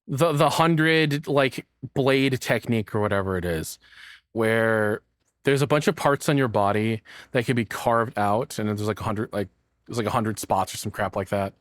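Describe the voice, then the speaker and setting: A man's voice: on the low side, normal speed, dry recording, studio quality. A man giving casual, joking reaction commentary, rambling along and swearing freely. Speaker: reactor, streamer.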